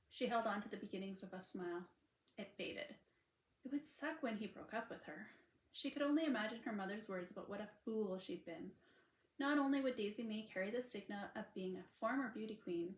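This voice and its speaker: A woman author reading her own romance novel aloud in an expressive, informal storytelling style. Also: mid-range voice. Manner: informal asides to listeners between passages. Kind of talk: expressive audiobook narration of a sweet romance. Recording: unedited roomy home recording.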